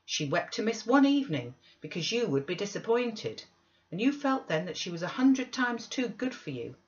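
An indignant elderly servant woman acted by a woman reader scolds emphatically in a raised pitch, as in a storybook reading. The recording is slightly dull. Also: big pitch swings, moderate pace, lightly acted. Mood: reproachful, pleading. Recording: slightly dull webcam audio.

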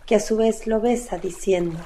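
A woman's voice: mid-range voice, moderate pace, mellow, slight background noise. A woman narrating a literary radio play from a script.

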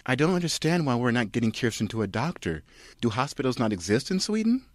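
A man's voice: mid-range voice, big pitch swings, fast, dry recording, pitched above the performer's own voice, lightly acted. A man voicing an exasperated, anxious woman with an earnest, questioning manner in a comic script reading. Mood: baffled. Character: exasperated, anxious woman, pleading sister and wife.

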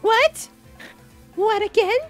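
Squeaky slow anime schoolgirl. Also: soft background music. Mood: indignant. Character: very high-pitched anime schoolgirl, cutesy and melodramatic. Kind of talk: improvised visual novel dubbing.

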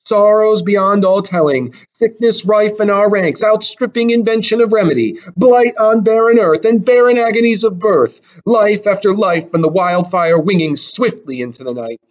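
A man's voice: high-pitched, moderate pace, hardly any pauses, muffled recording, voice pushed up, natural voice. A man voicing a fearful, pleading chorus of elders in a Greek tragedy, declaiming in fervent incantation.

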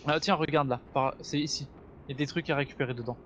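A man's voice: a little high, steady tone, quick pace, fairly bright tone, slight background noise. A man chatting casually while playing a co-op game, explaining the mission and guiding his partner. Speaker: gamer, co-host of a gaming channel. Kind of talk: casual co-op gameplay chat.

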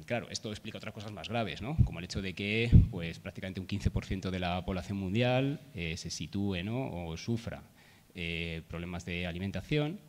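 Man with hesitant "¿no?" filler tags. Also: sits low. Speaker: speaker. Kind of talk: conference talk. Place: event room. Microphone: table microphone. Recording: long hall reverb.

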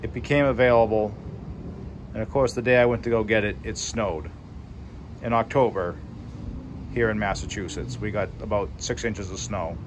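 A man's voice: on the low side, normal speed, long pauses, background noise. A man casually walking through his railcar in a small garage, talking loosely with fillers as he films on his phone. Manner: relaxed, conversational, loose with fillers. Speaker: railcar hobbyist showing his car. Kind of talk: casual video walkthrough. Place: small wooden garage, indoors. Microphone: phone camera held by the speaker.

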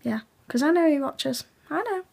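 A teenage girl from England, raspy and hoarse, chatting quietly in a late-night webcam vlog in her room, half-whispering so as not to wake the house. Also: high-pitched, expressive, moderate pace. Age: teens. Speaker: vlogger. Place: bedroom at night, indoors. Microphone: laptop webcam.

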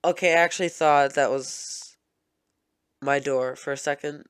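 Young male reaction host, high-pitched, excitable, casual slang, faint music behind. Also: unhurried.

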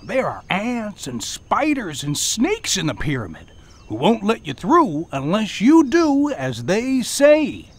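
A woman voicing a friendly male goat in a children's video game, who gives slow, cautionary advice. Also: medium pitch, wildly swooping pitch, breathy edge, full character voice.

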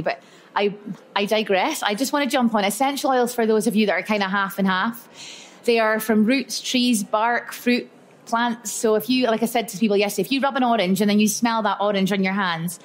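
A woman's voice: mid-range voice, a little fast. A woman giving a chatty, upbeat workshop talk to a live audience at an event. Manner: chatty and upbeat, storytelling with lots of "so" and "yeah". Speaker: speaker at a live expo talk.

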